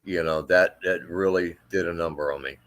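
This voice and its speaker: A man with a deep, low voice that carries, an army veteran in middle age. He tells his story haltingly, circling back with fillers and restarts. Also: steady tone, a bit bright. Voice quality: deep, carrying voice. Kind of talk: emotional storytelling in interview.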